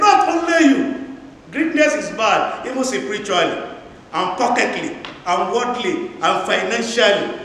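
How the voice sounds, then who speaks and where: Nigerian preacher, high voice, booming hall. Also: male voice, slight background noise. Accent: Nigerian English. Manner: preaching with swings between loud exhortation and low asides. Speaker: imam. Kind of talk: Friday sermon. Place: large tiled mosque hall. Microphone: lapel mic. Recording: booming echo of a large hall.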